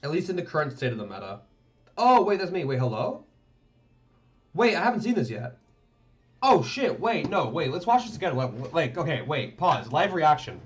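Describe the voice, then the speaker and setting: A man's voice: fairly high pitch, very animated, moderate pace. A man live-streaming his commentary on tournament match footage, rambling and thinking aloud, often tagging his remarks with "right?". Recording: dull lo-fi stream audio.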